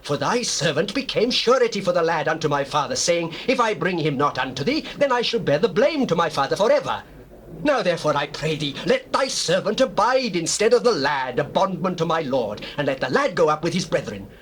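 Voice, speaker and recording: An actor playing a pleading Hebrew brother in a dramatized scripture, formal and impassioned, on an old cassette recording. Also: male voice, on the high side, big pitch swings, brisk, fairly bright tone. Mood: earnest pleading. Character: Judah, pleading Hebrew brother begging for the lad. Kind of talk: dramatized scripture, theatrical stage acting. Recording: noisy old cassette tape.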